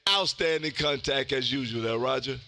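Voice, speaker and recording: A man in his fifties with a loud, exuberant, folksy manner, chatting casually as he hosts amateur radio contacts. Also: mid-range voice, normal speed, vocal fry, soft background music. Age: 50s. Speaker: amateur radio operator hosting a live stream. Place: indoor home radio room.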